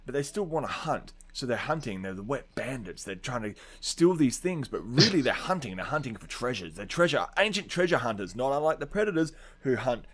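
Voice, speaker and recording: A man chats casually as a podcast co-host. His talk is informal and full of slang, and he argues silly pop-culture theories as if they were entirely serious. His pitch sits in a middle range. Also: big pitch swings, a little fast, hardly any pauses, a bit bright. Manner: informal, riffs in earnest on absurd theories, says dude often. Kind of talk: casual comedic chat, pop-culture debate.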